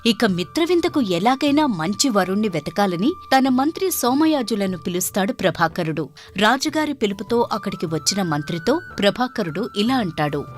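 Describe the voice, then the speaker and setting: A woman narrates a scripted story. Her intonation is lively and varied, and faint music plays behind her. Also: mid-range voice, moderate pace.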